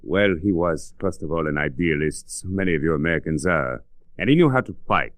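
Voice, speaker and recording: A very low-pitched, earnest doctor of middle age in an old radio drama. He phrases things formally and with care, and the sound is dry and close. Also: lively intonation, brisk, dry recording. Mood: earnest, grave. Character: grave, earnest middle-aged European doctor, male. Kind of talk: 1940s radio drama acting.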